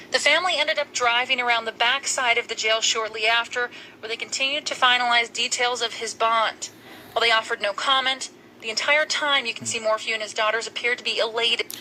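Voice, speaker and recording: A woman's voice: fairly high pitch, fairly even intonation, fairly quick, bright, forceful tone, a little hiss or hum, low-quality recording. A woman narrating a scripted television news report.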